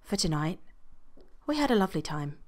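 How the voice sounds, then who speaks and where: A woman voicing a gentle young man who speaks softly and melodiously. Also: a little slow. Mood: tender. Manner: soft, low, melodious.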